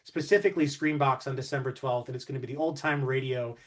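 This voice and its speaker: A man's voice: mid-range voice, fast. A man hosting an interview in an enthusiastic, conversational style. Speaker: interview host.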